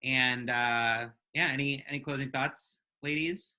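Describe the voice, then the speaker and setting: A man co-hosting a casual live podcast, easygoing and a bit halting as he talks. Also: medium pitch, fairly even intonation, bright, forceful tone. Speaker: podcast co-host.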